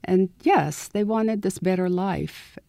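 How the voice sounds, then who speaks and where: A woman in her eighties from southern California, telling her story slowly and reflectively as a guest on a radio interview. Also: medium pitch, gravelly, mellow, dry recording. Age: 80s. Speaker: author and guest.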